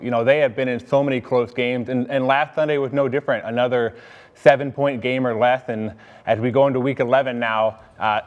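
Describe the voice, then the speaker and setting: Mid-pitched man, sports reporter. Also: phone-quality sound. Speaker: team reporter and panelist. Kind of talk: conversational sports analysis.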